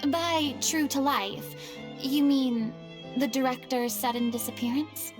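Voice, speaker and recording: A woman playing a vain, easily flustered young stage celebrity in a video game, with a dramatic, haughty, deliberate delivery. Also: high voice. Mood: curious, poised. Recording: music behind the voice.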